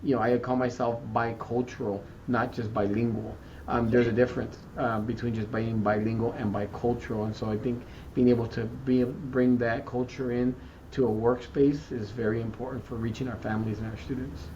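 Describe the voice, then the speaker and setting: A man from South Texas answering interview questions thoughtfully, often repeating words and pausing with "um" as he searches for the right term. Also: mid-range voice, little pitch movement, darkish tone. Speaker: school district equity chief. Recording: muffled, distant, faint background noise.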